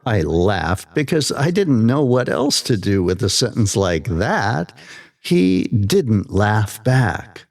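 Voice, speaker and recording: A man narrating a scripted slow-burn horror story in a measured way with dramatic pauses. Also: fairly low pitch, big pitch swings, darkish tone, faint music behind.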